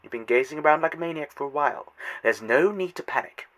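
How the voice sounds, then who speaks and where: A man's voice: on the high side, animated, quick pace. A man voicing a taunting, godlike male trickster in a fan audio drama, theatrical and mocking. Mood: gleeful mocking. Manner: theatrical, taunting, swinging loud to hushed.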